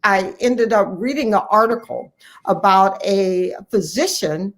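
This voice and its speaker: A woman's voice: medium pitch, a little slow. Middle-aged American woman telling her story. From Cleveland, Ohio, United States. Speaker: interview guest, family physician. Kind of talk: personal storytelling in a conversational interview.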